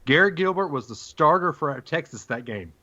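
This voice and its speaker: Bright-voiced male sports podcast host. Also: a little high, lively intonation, normal speed. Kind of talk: casual sports chat. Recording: dull lo-fi audio.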